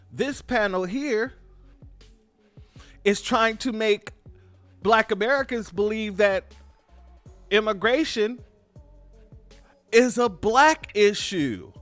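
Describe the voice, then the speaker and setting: A man's voice: sits high, lively intonation, slow pace, pauses often, a bit bright, dry recording, faint music behind. A man hosting a political commentary show, talking emphatically and informally and repeating his points to his viewers. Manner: emphatic, repetitive, informal, addresses viewers as family. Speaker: commentary channel host.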